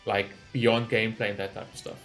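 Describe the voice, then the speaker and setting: A man's voice: on the low side, flat delivery, brisk, a bit bright, soft background music. A man hosting a gaming reaction video, talking informally and thinking aloud as he rambles through his commentary. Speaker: gaming reaction channel host.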